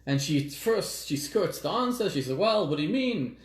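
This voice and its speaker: Rabbi from southern Africa, echoey sermon. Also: male voice, a little high, very animated. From Zimbabwe, raised in South Africa. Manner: storytelling with dramatic pauses, slowing on key words. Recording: echoey room.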